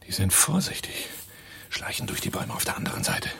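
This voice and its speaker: An actor playing a wary fighter in a radio thriller, giving tactical orders in a whispery, gravelly voice. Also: male voice, brisk, lightly acted. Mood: tense, hushed. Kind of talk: radio drama dialogue.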